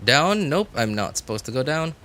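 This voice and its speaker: A man's voice: mid-range voice, sing-song, moderate pace, pushed and bright, slight background noise. A man doing casual live gameplay commentary, thinking aloud in short informal remarks and chatting with his viewers. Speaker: game livestreamer. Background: game music.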